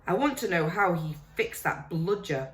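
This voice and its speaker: A woman voicing a clever, earnest schoolgirl who talks quickly. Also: on the low side, expressive, fairly bright tone, echoey room.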